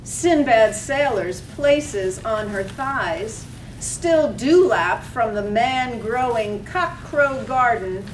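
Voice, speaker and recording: A woman's voice: expressive, takes it slowly. A woman narrating a poetic radio drama in a dramatic reading. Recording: roomy, distant microphone, faint rumbly noise.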